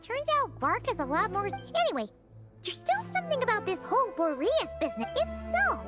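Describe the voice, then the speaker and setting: A woman voicing a tiny, excitable childlike fairy companion in a video game, squeaky and exclamatory, referring to herself by name. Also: brisk, over loud music, exaggerated voice. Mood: puzzled.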